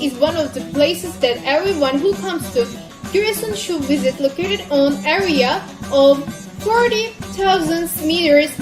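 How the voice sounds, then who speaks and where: A woman's voice: high voice, poor audio. A woman giving a stiff, list-like narration of city sights. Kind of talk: scripted narration.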